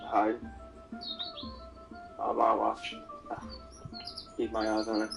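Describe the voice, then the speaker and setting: A man's voice: fairly low pitch, monotone, takes it slowly, gravelly, over music. A man chatting casually as a player in a tabletop role-playing game.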